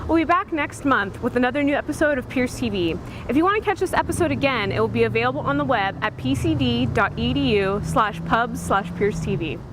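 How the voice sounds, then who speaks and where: A woman's voice: fairly high pitch, expressive, fairly quick, hardly any pauses, noisy background. A woman hosting a student TV show, reading a scripted sign-off in a presenter style.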